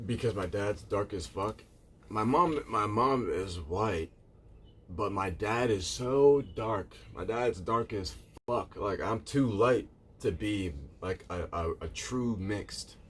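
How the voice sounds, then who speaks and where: A man's voice: fairly low pitch, slow pace, slight background noise. A man live streaming, chatting casually with viewers in a rambling way, repeating himself and calling them "bro".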